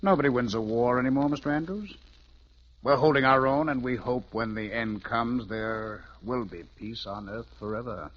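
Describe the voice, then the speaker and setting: An actor playing a grave, world-weary male colonel with fatherly authority in a 1950s radio drama, measured and solemn. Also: medium pitch, close to an everyday voice. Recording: dull, muffled old radio recording.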